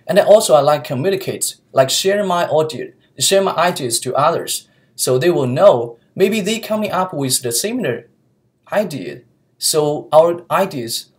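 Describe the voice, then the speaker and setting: A young man from China with a Chinese accent, answering interview questions earnestly in his second language, often pausing with fillers and restarting sentences, recorded on a laptop webcam in a small office. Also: on the high side. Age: young adult. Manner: earnest, searching for words, frequent fillers and restarts. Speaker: student interviewee. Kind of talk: mock job interview. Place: small office room with whiteboard, indoors. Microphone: laptop webcam mic.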